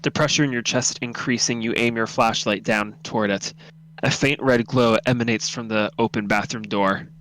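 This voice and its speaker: A man's voice: medium pitch, steady tone, normal speed, fairly bright tone, slight background noise, poor audio. A man reading a horror story aloud as narrator, dramatic, intense and suspenseful.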